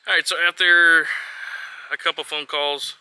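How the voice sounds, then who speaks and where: A man's voice: a little high, flat delivery, moderate pace, bright, forceful tone, clear, resonant tone, low-quality recording. A man talking informally as he works through a hands-on installation, chatting to viewers in a casual how-to vlog style and thinking aloud. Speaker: vlogger and trucker showing a DIY install.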